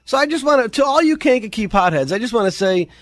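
A man's voice: very high voice, expressive, quick pace, fairly bright tone, dry recording. A middle-aged American man hosting a radio show, bantering and interviewing in a joking, mock-serious way. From United States.

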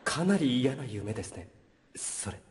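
An actor playing an earnest, blunt young defense lawyer in a staged game skit, quick to react with quips. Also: male voice, mid-range voice, big pitch swings. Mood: wry. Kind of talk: live stage skit acting. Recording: echoey hall, faint noise.

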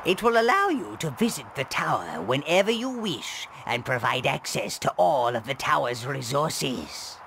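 A man playing an old, fawning, evil goblin advisor in a video game, with a gravelly voice and theatrical, obsequious delivery. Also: medium pitch, very animated, moderate pace, few pauses, fairly bright tone. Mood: pleased, servile. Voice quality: gravelly, creaky. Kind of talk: scripted video game character acting. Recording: game ambience noise behind voice.

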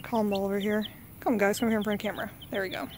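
A woman's voice: mid-range voice, little pitch movement, fast, darkish tone, slight background noise. A woman talking casually to her phone camera outdoors in a backyard, coaxing her pets in a sing-song voice. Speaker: vlogger. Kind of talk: casual chat. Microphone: handheld phone camera.

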